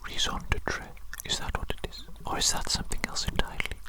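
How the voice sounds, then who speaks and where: Casting: ASMR performer as eccentric evil doctor and doting creator, fully whispered, repetitive, soothing, quaintly formal, science-obsessed. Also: lightly acted. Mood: obsessive, eager. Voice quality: breathy unvoiced whisper. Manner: repeats phrases slowly, formal old-fashioned wording, soothing.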